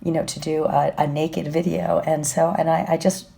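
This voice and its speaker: Woman w/ a cold, really low flat gravelly voice, lots of ums, dark tone. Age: late 40s. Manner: halting, many ums, soft-spoken. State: has a cold, scratchy voice. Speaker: friend sending a video message. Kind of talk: casual video message.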